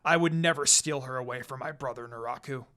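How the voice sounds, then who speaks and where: A man's voice: mid-range voice, fairly quick, sharp tone, voice pushed down, close to an everyday voice. A man doing a proud, snarling demon lord. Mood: angry vow. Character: proud, angry male demon lord, fiercely protective.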